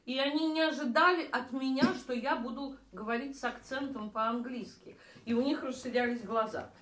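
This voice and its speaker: A woman's voice: high-pitched, steady tone, moderate pace, fairly bright tone. A middle-aged woman telling of her own experience in a group chat, hesitant with many fillers. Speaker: emigrant discussion guest. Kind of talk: casual group discussion, storytelling. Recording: echoey room.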